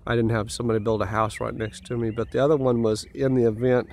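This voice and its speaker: A man with a stuffy head cold gives an easygoing, rambling tour of his house build. He talks outdoors, and faint country ambience sits behind his mid-pitched voice. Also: flat delivery, fast talker, a little mellow, a little hiss or hum. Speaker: homeowner vlogger. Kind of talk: casual site walkthrough.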